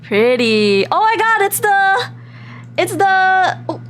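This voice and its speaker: A woman hosts a casual game livestream in a high-pitched, very animated voice. She is excitable and expressive, yet takes her time as she chats and reads text aloud. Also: slow pace. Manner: excitable, giggly, expressive reactions. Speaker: livestream host. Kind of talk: casual live game stream with read-aloud and reactions.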